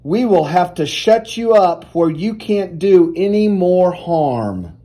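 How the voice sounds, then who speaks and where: A man reading the part of excited, urgent firemen calling out orders in a children's storybook. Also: high-pitched, slow pace, heavily acted. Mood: scolding.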